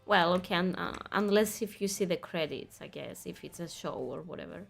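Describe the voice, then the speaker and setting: A woman's voice: on the low side, lively intonation, a little fast, creaky, faint music behind. Chatty woman streamer, easy laughs. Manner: chatty, laughs easily, reads quest text aloud. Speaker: game streamer. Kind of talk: casual gaming commentary.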